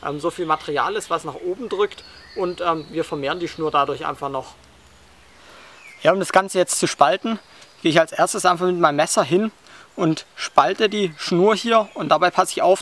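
A young man with a fairly high voice talks through a hands-on outdoor skill, calm and explanatory, pausing often with hesitant fillers. He is recorded close up while sitting on a forest floor, with faint insects in the background. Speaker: bushcraft instructor.

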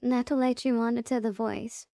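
A woman with a high, mellow voice narrates a casual tutorial. She goes through it step by step without hurry, sometimes thinking aloud. The sound is close and dry. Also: steady tone, normal speed, dry recording. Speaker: tutorial presenter.

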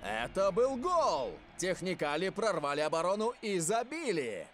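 High-voiced, enthusiastic cartoon commentator. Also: male voice, unhurried, faint music behind. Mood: amazed. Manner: emphatic, animated. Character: enthusiastic adult male football commentator, easily astonished.

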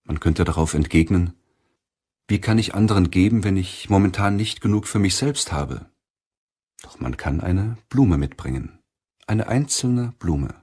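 A man narrating a self-help audiobook in a measured, deliberate way. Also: sits low, lively intonation, darkish tone, husky.

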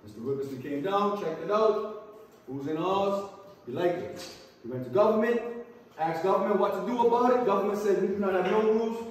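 A man's voice: sits high, brisk. A man working as a tour guide, telling a story to a group in short emphatic phrases, often checking they follow. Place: inside a large cave. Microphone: distant camera microphone. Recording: echoey cave, distant camera mic.

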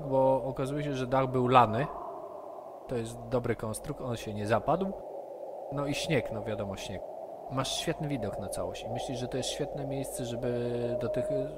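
A man narrating as game master in a steady, middle-pitched voice, laying out each scene patiently, his speech picked up over an online call with a heavy layer of hiss and noise beneath it. He describes places and dangers with calm detail. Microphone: computer headset or desk mic, video call. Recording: noisy online session audio.

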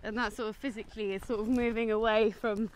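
A woman's voice: on the high side, flat delivery, quick pace. Young woman chatting outdoors, noisy recording. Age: 20s. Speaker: film review vlogger. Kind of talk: casual film review chat. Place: outdoors, walking on a woodland path. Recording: outdoor ambient noise.

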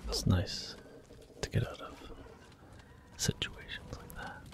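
A man talking softly through a video game in a slow, gentle ASMR whisper. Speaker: ASMR gaming channel host. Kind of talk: soft-spoken ASMR gameplay commentary.

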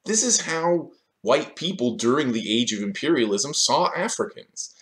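A man's voice: medium pitch, very animated, normal speed. A man in his thirties delivering scripted video essay commentary in a sardonic, questioning manner, recorded in a small room.

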